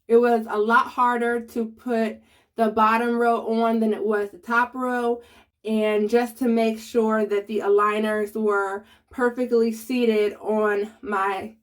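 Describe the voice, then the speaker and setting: A woman vlogger in a casual how-to video, chatty and speaking straight to her viewers, with a slight lisp from new aligners. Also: on the high side. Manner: chatty, addresses viewers directly.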